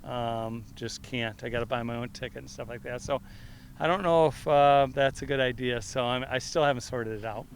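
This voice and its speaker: Middle-aged coach guy, loud voice, rambly with lots of uhs, bit of background hiss. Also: mid-range voice, normal speed. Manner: loose, rambling, frequent fillers and restarts. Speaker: head football coach. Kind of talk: press conference answers.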